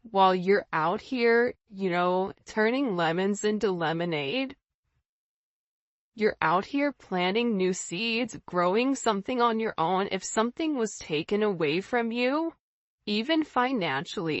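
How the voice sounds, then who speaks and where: A woman's voice: mid-range voice, no echo, lo-fi sound. A woman giving an informal tarot reading straight to her viewers, speaking emphatically and conversationally with rhetorical questions. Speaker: tarot reader, channel host.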